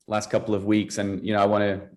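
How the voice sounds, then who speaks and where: A man's voice: on the low side, monotone, quick pace, a little mellow. A Scottish man being interviewed on a podcast, telling his career story in a conversational, enthusiastic way. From Scotland. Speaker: guest, athletics director.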